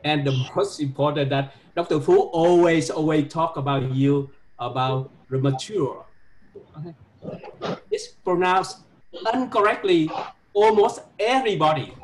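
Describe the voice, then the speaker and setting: A man with a Vietnamese accent and a slightly high voice teaches English pronunciation to a class. He speaks haltingly, repeats himself and tags phrases with "huh?". There is faint noise in the recording. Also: low-quality recording. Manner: halting, repetitive, ends phrases with a questioning "huh?".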